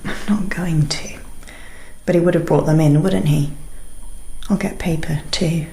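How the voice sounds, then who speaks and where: A woman's voice: low voice, steady tone, a little slow, gravelly, warm tone, rough recording. A woman playing a calculating British wife in a radio thriller, speaking in terse phrases. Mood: cold, terse. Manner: terse, businesslike. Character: cold, calculating wife plotting her husband's death.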